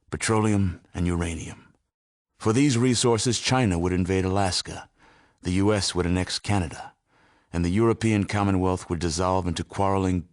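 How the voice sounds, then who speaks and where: An actor playing a solemn, fatalistic narrator of a ruined world in a video game, measured and ominous. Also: male voice, low-pitched. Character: grave, fatalistic male storyteller of a ruined world.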